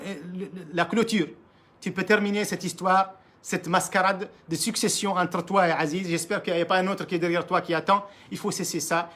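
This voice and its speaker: A man with a fairly high voice speaks quickly and insistently. His words tumble out with frequent restarts and repeated phrases as he challenges leaders directly, in an impassioned political monologue. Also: a bit bright. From Mauritania. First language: Arabic. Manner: emphatic, repetitive, restarts often, addresses leaders directly. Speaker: political commentator.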